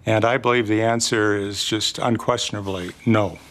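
An older man speaking firmly and deliberately in a documentary interview indoors. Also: on the low side, steady tone, fairly bright tone.

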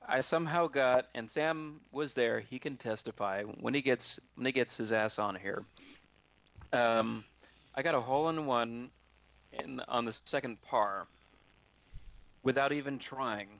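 A man casually telling a story on a video call, halting and often repeating his words. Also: mid-range voice, vocal fry, fairly bright tone, slight background noise, rough recording.

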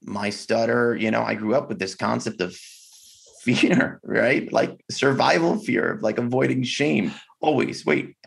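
A man speaking candidly as a podcast guest over a video call, reflective and halting, with many fillers and restarts. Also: mid-range voice, gravelly, husky. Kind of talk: candid conversation.

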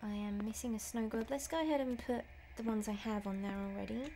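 A woman's voice: fairly high pitch, a little fast, warm tone, a little hiss or hum. A middle-aged woman giving casual, nervous, rambling commentary on a horror game, sometimes dropping to a whisper. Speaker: game streamer and voice actress. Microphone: desk mic set slightly away from face.

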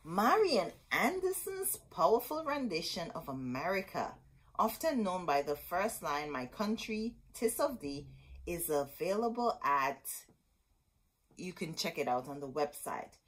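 A middle-aged woman hosting a storytime, reading a picture book aloud expressively with dramatic, deliberate phrasing and asides to her listeners. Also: on the low side, very animated, breathy edge, poor audio. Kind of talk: expressive children's read-aloud. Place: small indoor room with bookshelves.

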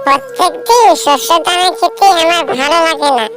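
A woman voicing a wheedling, demanding little girl bird chick in a children's cartoon, coaxing in a whiny, drawn-out way. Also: extremely high pitch, very animated, music in the background. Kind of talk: children's cartoon fable acting.

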